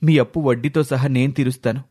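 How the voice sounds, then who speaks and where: A man's voice: medium pitch, fairly even intonation, fast, dark tone, breathy edge, natural voice. A man playing a dutiful young son, earnest and resolute, in a dramatised moral story. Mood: pleading.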